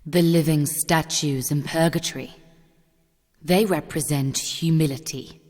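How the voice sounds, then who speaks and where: Casting: actress as imperious, menacing masked lady, extremely low female voice, slow and measured, ominous, audio drama. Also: fairly even intonation, darkish tone, dry recording, studio quality. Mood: ominous, solemn. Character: tall masked sinister noblewoman, imperious, menacing. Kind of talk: dramatic audiobook character acting.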